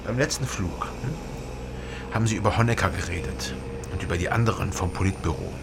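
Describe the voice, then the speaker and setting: Gravelly, low-key investigating man. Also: lively intonation, moderate pace, background noise. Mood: probing, restrained. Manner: understated, probing, dry. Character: probing, reserved man investigating, also narrating. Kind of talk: naturalistic radio drama acting and narration.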